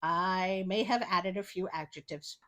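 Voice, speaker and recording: A woman reading fairy tales as a storytelling host, expressive, with wry and incredulous asides. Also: on the low side, unhurried.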